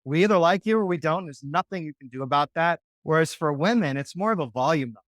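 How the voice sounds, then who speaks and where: A man's voice: fairly high pitch, animated, fast talker, a bit bright, no room sound, studio quality. A man coaching on dating in a podcast interview, talking casually and often checking in with "right?".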